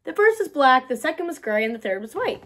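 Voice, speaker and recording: A woman narrating a children's storybook aloud in an expressive, deliberate storytelling manner. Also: high voice. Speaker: read-aloud host.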